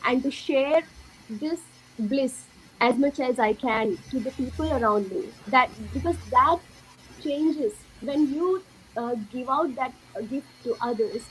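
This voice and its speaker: A young woman from India sharing her spiritual story slowly and earnestly, with lots of fillers. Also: a little high, a little hiss or hum, poor audio. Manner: slow, earnest, many fillers. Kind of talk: personal testimony in an interview.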